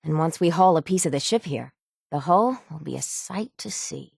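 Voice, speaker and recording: A gruff, low-voiced sailor woman from a video game. She talks in plain, folksy islander phrases with a gravelly edge, resigned to her fate yet stubborn. The voice is close and dry. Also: expressive, moderate pace, no echo, full character voice. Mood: grave, determined. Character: weary, stoic, gruff dying island sailor woman guarding the town's hull. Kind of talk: dramatic video game dialogue.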